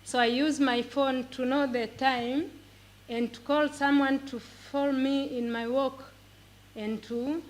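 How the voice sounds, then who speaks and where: Slow-speaking Tanzanian woman, halting delivery. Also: high-pitched, fairly even intonation. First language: Swahili. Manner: halting, repeating words as she searches for them. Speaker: pre-primary teacher and conference speaker. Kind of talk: prepared talk in simple English.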